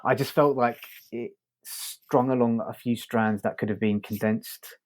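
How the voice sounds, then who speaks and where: A man's voice: mid-range voice, fairly even intonation, unhurried. A man co-hosting a podcast, talking casually through a review with hesitant, tentative phrasing. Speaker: podcast co-host reviewing audio fiction.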